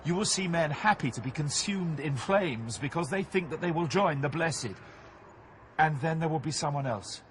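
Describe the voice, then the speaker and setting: Actor doing a grave ghostly guide guy, measured n commanding, slightly high male voice, noisy ambience, audio drama. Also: animated, lightly acted. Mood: solemn, reassuring. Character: Virgil, solemn male spirit guide from Limbo.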